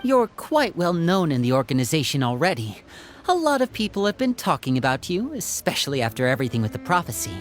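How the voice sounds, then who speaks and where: A woman's voice: deep voice, sing-song, brisk. A woman voicing a polite, charming young male stage magician in a video game, courteous and a little theatrical. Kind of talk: video game character dialogue. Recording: faint music behind the voice.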